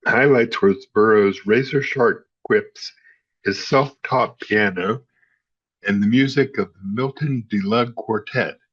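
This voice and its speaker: A man's voice: fairly low pitch, takes it slowly, slightly rough, highs cut off. A man narrating in a scripted style, reading encyclopedia-like history as a host.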